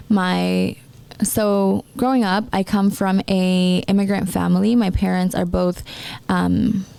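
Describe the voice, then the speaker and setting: A woman of twenty-three, raised in the United States, talking casually and haltingly in a personal podcast monologue. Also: medium pitch, vocal fry, mellow, clear, resonant tone, no room sound. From born in Mexico, raised in the United States. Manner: halting, restarts her sentences repeatedly. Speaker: podcast host and entrepreneur.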